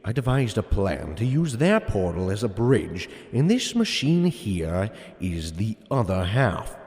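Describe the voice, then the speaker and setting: A man's voice: on the low side, sing-song, unhurried, a little mellow, in a large hall. A man playing a huge, gloating, theatrical supervillain mastermind in an audio drama, with a grandiose, taunting delivery. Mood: scheming, boastful. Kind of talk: hobby audio drama acting.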